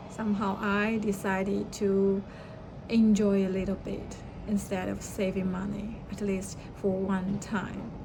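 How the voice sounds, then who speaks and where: A young woman, a native Chinese speaker, talking through a personal reflective monologue with many fillers and restarts. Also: medium pitch. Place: outdoors by the sea. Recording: noisy outdoor ambience.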